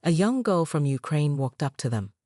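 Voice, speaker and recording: A woman narrating a scripted story in a measured, dramatic storytelling manner. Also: sits low, big pitch swings, a little mellow, no room sound, studio quality.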